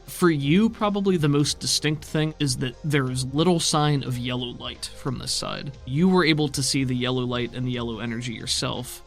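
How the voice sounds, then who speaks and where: A man's voice: mid-range voice, moderate pace, hardly any pauses, soft background music. A man narrating scenes as the game master of a tabletop roleplaying game.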